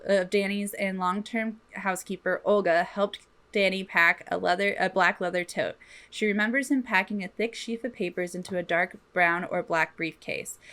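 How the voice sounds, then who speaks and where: A woman's voice: mid-range voice, fairly bright tone. A woman co-hosting a podcast, telling a true crime story from notes in an informal, slangy way with some swearing. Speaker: podcast co-host.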